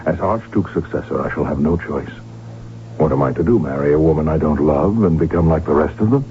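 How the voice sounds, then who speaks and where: An actor in a radio drama playing a lovestruck, determined royal heir who speaks earnestly and formally. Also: male voice, very deep voice, a little fast, a little hiss or hum. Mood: tender, determined. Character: lovestruck, determined Habsburg archduke, heir to the throne. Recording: dull vintage radio broadcast with music.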